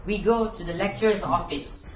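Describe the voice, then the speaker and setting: A woman's voice: mid-range voice, fairly even intonation, moderate pace, a little mellow, close to an everyday voice. A woman playing a stern, taunting campus security guard in an amateur reader's theater piece. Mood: gloating, stern. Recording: echoey room, faint noise.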